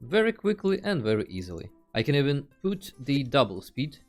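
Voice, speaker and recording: Male tutorial host, animated mid-range voice, casual and playful delivery, faint music underneath. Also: medium pitch, a little fast. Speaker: host of a video game tutorial channel. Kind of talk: casual tutorial screen narration.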